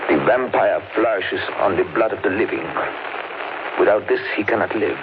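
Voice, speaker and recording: A man playing an elderly male professor leading vampire hunters, speaking gravely, formally and urgently in an old radio drama. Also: lowish voice, moderate pace, close to an everyday voice. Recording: muffled 1930s radio broadcast, hissy.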